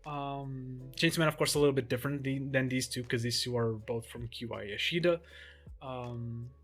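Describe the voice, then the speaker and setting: A young man from Portugal, a native Portuguese speaker, talks through his collection in a loose, rambling way. His voice sits at an ordinary middle pitch, and soft music plays quietly behind him. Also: moderate pace. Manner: relaxed, rambling, with frequent ums and restarts. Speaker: manga collector showing his shelves. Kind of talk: casual collection tour vlog.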